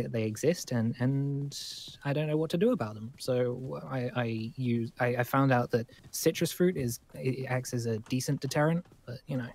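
A man's voice: mid-range voice, a little mellow, close to an everyday voice. A man playing a nervous, apologetic young survivor in an improvised game roleplay, stammering and over-explaining as he goes. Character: nervous, rambling, apologetic young male survivor living at school.

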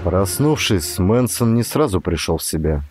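A man narrates a suspense novel in a dramatic audiobook style. His voice sits on the low side. Music plays faintly behind him. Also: lively intonation, moderate pace, darkish tone, slightly rough, no room sound, clean studio sound. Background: background music.

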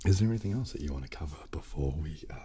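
Male ASMR host, thirties, extremely low and mellow, half-whispered. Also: lively intonation, very fast, husky. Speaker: channel host. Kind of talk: soft-spoken ASMR chat. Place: small room, indoors. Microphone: close studio condenser microphone.

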